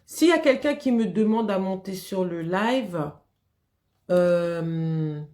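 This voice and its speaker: A woman's voice: on the low side, expressive, slow pace, a little mellow, roomy sound. A woman hosting a live stream, chatting casually with viewers, laughing often and greeting them by name.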